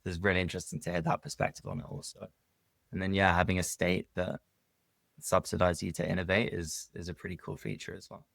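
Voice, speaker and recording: Man, very low voice, gravelly fry, dry close mic, investor guest, thoughtful. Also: fast, no room sound. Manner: thoughtful, relaxed, uses filler words. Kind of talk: casual interview conversation.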